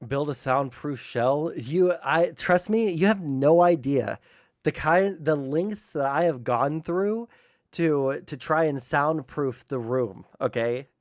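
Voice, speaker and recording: A man streaming from home, chatting casually with lots of fillers and some swearing, his voice naturally loud. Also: a little high, expressive, takes it slowly, no echo, studio quality. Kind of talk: casual livestream chat. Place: room hung with soundproofing blankets. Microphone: streaming mic with gain turned low.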